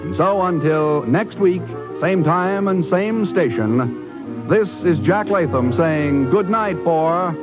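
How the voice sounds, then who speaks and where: High-ish male announcer on vintage radio. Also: lively intonation, takes it slowly, highs cut off. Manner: polished, emphatic scripted delivery. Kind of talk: 1950s radio announcing and sponsor copy. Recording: band-limited old radio broadcast recording. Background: music.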